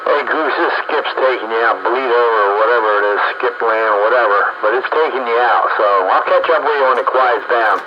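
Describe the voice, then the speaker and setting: A man's voice: mid-range voice, fairly quick, few pauses, dull audio. A man chatting casually over two-way radio, with a folksy manner and plenty of radio slang. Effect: two-way radio transmission. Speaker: amateur and CB radio operator. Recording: noisy, band-limited CB radio transmission.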